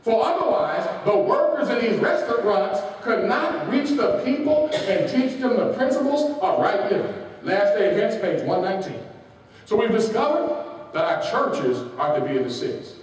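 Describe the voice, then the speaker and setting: Man, preacher, sermon, rhetorical questions, high animated voice, heavy reverb. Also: moderate pace. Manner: rhetorical questions, reads quotations with page references. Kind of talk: sermon with call and response. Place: large church hall. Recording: echoey hall from far off.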